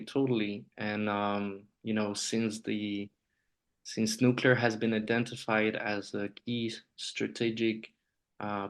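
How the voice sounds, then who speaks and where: A man from Honduras, a native Spanish speaker, answering conversationally as an interview guest. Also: fairly low pitch. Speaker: podcast guest, nuclear trainer.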